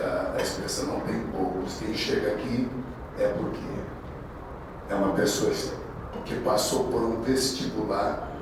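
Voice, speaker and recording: A man in his sixties from Brazil preaching a sermon in a conversational, anecdotal way, restarting his phrases often. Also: medium pitch. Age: 60s. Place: large echoey hall. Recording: echoey hall, distant mic, background noise.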